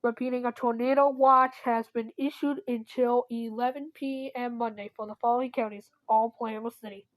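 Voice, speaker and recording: Woman with a highish voice doing emergency weather alert announcements, trips up and fixes it. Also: steady tone, brisk, few pauses, poor audio. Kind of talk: scripted emergency weather bulletin.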